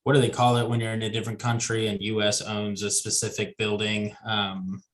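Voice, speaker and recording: A man's voice: lowish voice, level tone, a little fast. A man in his twenties talking casually as a podcast guest, easygoing and rambling with restarts. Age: 20s. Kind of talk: casual conversation.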